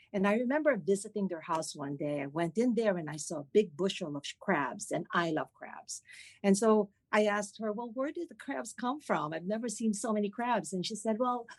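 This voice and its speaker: A middle-aged woman from the Philippines, a cardiologist guest in an interview, telling stories in an animated way with frequent laughter. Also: mid-range voice, no echo. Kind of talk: conversational interview.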